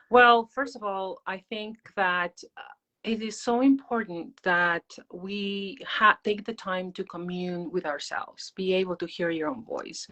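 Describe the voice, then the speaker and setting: A woman with a Peruvian Spanish accent speaks in a slightly low voice. She is an interview guest, explaining things in a personal, reflective, conversational way. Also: a bit bright. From Peru. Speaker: interview guest, meditation teacher.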